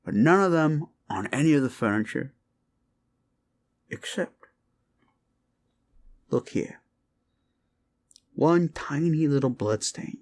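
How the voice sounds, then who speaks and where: A man's voice: on the high side, animated, takes it slowly, pauses often. A man playing a folksy, rumpled older homicide detective in a roleplay audio, rambling absent-mindedly and probing politely. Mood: musing, speculative. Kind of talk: roleplay ASMR audio drama.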